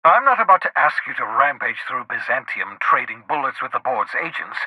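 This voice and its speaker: An actor playing an eccentric, excitable fugitive scientist in a video game, an idealistic schemer who speaks in a theatrical, articulate and emphatic way. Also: male voice, mid-range voice, brisk, slightly rough, dry recording, dull audio. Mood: scheming, animated. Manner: theatrical, articulate, emphatic, swinging between fervour and muttered asides. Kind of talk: video game character dialogue.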